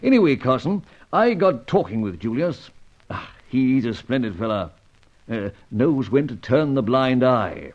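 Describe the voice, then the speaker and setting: A genial spy chief with an urbane, old-fashioned upper-class way of talking. His mid-pitched voice moves up and down freely in classic radio serial style, on a dull, lo-fi recording. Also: sing-song, a little slow. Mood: genial, reminiscing.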